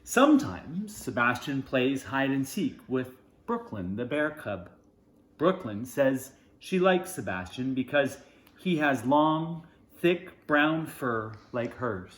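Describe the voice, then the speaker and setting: A man's voice: medium pitch, very animated, slow pace, fairly bright tone, reverberant. A man reading a children's storybook aloud, expressively, in short phrases with informal asides. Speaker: storybook reader.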